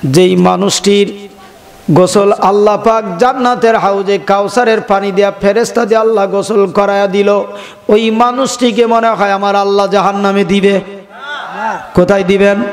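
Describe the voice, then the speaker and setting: A man preaching a melodic, half-chanted storytelling sermon with impassioned, drawn-out calls to his listeners. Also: high-pitched, fairly even intonation. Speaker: Islamic preacher. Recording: long hall reverb, loud PA sound.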